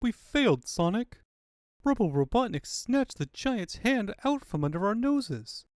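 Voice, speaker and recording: A man's voice: sits high, very animated, a little slow, no room sound, studio quality, voice pushed up. A man voicing an earnest young heroine princess in a comic fandub, urgent and sensible.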